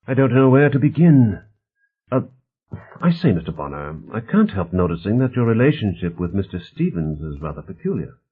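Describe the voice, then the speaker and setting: A man voicing a polite, inquisitive titled gentleman in an audiobook, courteous, formal and tentative. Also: on the low side, sing-song, moderate pace, husky, voice pushed up. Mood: curious. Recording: narrow phone-like band.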